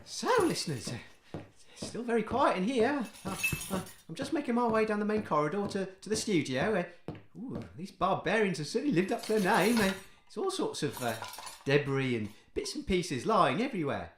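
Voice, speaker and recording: An actor playing a timid, fussy, kind-hearted radio host in an audio comedy, hesitant and stammering. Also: male voice, sits high, very animated, moderate pace, a little hiss or hum. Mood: dejected.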